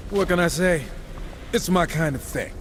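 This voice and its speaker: A man's voice: fairly high pitch, normal speed, long reverb tail, a little hiss or hum, natural voice. An actor playing a cocky, wisecracking horseman warrior in a video game, sarcastic and irreverent. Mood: wry. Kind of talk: casual quips in video game cutscene acting.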